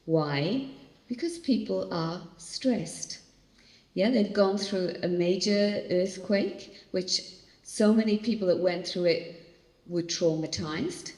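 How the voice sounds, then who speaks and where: An older woman pastor from Australia giving an animated, storytelling church talk on coping with stress. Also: medium pitch, slow. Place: large hall. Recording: echoey hall, long reverb.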